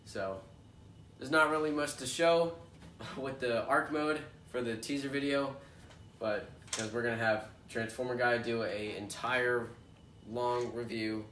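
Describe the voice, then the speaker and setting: A man's voice: mid-range voice, fairly even intonation, unhurried, a bit bright. Congested young man in an echoey room. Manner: rambling and chatty with frequent fillers. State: congested from allergies. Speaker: toy unboxing host. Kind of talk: casual unboxing chat. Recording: echoey room, distant mic, noisy background.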